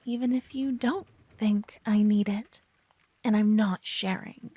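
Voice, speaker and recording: A woman playing a silly, adorable young paladin in an ASMR audio roleplay, teasing and theatrical, hushed in places. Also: mid-range voice, fairly even intonation, measured pace, breathy edge, no echo. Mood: possessive, playful. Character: adorable silly young female paladin, bossy, smitten, playful know-it-all.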